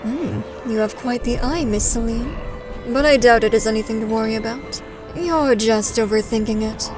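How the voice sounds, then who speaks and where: Actress doing a gracious formal fantasy lady, fairly high voice, calm composed, music behind. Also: moderate pace, lightly acted, rough recording. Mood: reassuring. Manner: formal, polite. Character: gracious, composed, dismissive woman traveler. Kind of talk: fantasy audio drama acting.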